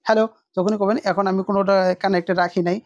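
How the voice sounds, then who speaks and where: A woman's voice: mid-range voice, fairly even intonation, rapid-fire, no echo, lo-fi sound. A woman presents a casual unboxing demonstration, explaining informally and repeating her points.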